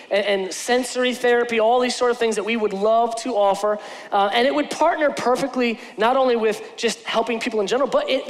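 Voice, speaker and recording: A pastor in his forties talks with earnest, conversational energy and often restarts his sentences. His voice sits high for a man. It rings out through a large church hall with a long reverb. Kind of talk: sermon with church announcements. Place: large church stage with lighting.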